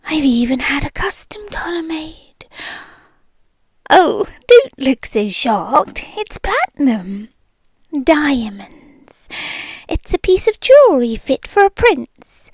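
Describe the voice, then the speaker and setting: Female actor as a British yandere heiress, obsessive and possessive, unhurried purring whispers. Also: sits high, no room sound, heavily acted. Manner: soft whispered, slow, purring menace. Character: possessive obsessive rich young woman captor. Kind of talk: ASMR roleplay.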